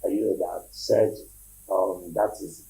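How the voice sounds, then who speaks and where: A man's voice: low voice, little pitch movement, measured pace, creaky, mellow, poor audio. A man from Lagos with a Nigerian accent, talking casually as an interview guest, repeating himself and checking that he is understood.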